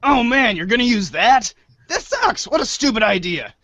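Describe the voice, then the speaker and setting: A man doing an excitable, high-voiced whiny boy. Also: moderate pace, dry recording, exaggerated voice. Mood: indignant. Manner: exaggerated, exclamatory. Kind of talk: read-along storybook voice acting. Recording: dull lo-fi audio.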